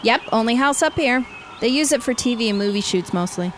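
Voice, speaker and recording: An actress playing a steady, commanding woman who leads her neighbors in a radio drama. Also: fairly high pitch, brisk, close to an everyday voice. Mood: firm. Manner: firm, matter-of-fact. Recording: clear street ambience noise.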